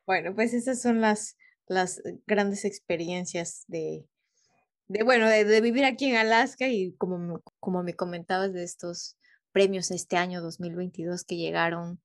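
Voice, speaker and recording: A woman's voice: on the low side, measured pace. A Mexican woman, a guest on a remote interview, talking casually with many hesitations and little rhetorical tags.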